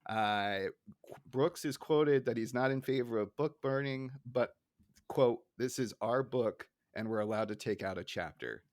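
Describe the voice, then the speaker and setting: A man's voice: mid-range voice, a little slow, a little mellow, no room sound, studio quality. A man hosting a casual podcast discussion, talking informally and moving the conversation along. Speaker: podcast host.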